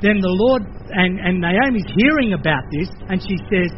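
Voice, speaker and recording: An older man with an Australian accent, preaching a sermon in a lively conversational way, with playful asides. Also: high voice, moderate pace, highs cut off, a little hiss or hum. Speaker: pastor.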